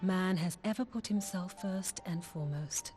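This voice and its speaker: A woman's voice: on the low side, moderate pace, a little mellow, soft background music, lightly acted. A woman playing a knowing, detached philosopher in a fantasy game, with formal, sententious delivery. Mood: wry, reflective. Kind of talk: dramatic video game voice acting.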